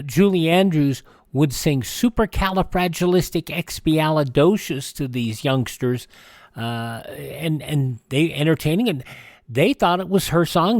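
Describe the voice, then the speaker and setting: A male radio host with a slightly high voice, recorded close and dry. He talks casually, wandering through his script and correcting himself along the way. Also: darkish tone, dry recording. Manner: rambling, self-correcting. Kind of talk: casual radio host chat reading celebrity birthdays and introducing old radio shows.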